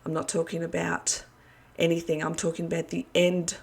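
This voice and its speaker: A woman giving a casual video address to her followers as an online preacher, her voice hoarse and going, talking earnestly and rambling with many fillers. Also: fairly low pitch, completely flat delivery, normal speed. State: losing her voice.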